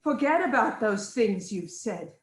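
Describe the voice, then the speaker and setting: Commanding ancient Greek queen, played by an actress, high voice, slow deliberate delivery, roomy echo, stage tragedy. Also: big pitch swings. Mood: calm, assured. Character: commanding queen of Thebes, scolding yet reassuring mediator.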